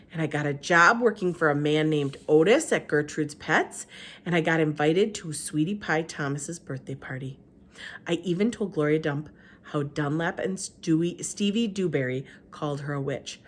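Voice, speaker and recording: A woman, a teacher, reading a children's novel aloud with expressive narration. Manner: expressive, lively pitch. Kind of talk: storybook read-aloud narration.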